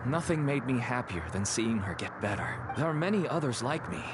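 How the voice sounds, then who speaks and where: A man's voice: medium pitch, sing-song, a little fast, lightly acted. A man playing an earnest, desperate merchant and father in an English video game. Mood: pleading, sorrowful. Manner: earnest, pleading. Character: desperate merchant father pleading for his sick daughter. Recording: very noisy game stream capture.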